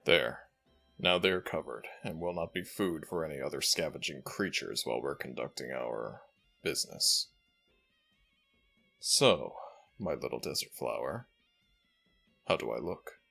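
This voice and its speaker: An ancient, dignified jackal god voiced by a man for an audio roleplay. His voice is very low and gravelly, with wide swings of intonation. He speaks slowly and formally, regal yet fondly exasperated. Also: lively intonation, pushed and bright, soft background music, full character voice. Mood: calm, proud. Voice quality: deep, gravelly. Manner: formal, slow, measured.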